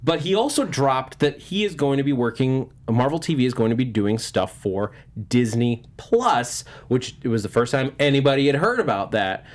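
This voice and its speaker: A man hosts a casual entertainment panel with a mid-pitched voice. He talks informally and a little jokily, as if to friends and viewers at once. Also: expressive, moderate pace. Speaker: host of a movie news panel show.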